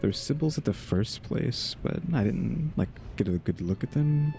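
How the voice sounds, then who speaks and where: A man's voice: mid-range voice, lively intonation, normal speed. A man streaming a game, talking casually as he plays and reading dialogue choices aloud over game music. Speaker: game streamer playing a story quest.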